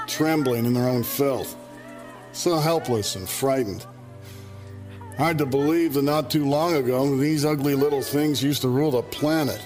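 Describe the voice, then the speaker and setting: A man's voice: medium pitch, natural voice. A man playing a smug, philosophizing robot exterminator confiding in a comedic sci-fi audio drama, theatrical and musing.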